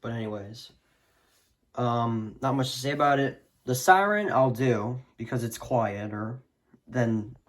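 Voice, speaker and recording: A man's voice: mid-range voice, a little slow. A man casually presenting a collection in a hesitant, rambling way that often trails off. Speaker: video creator showing a radio collection. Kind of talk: casual collection showcase chat.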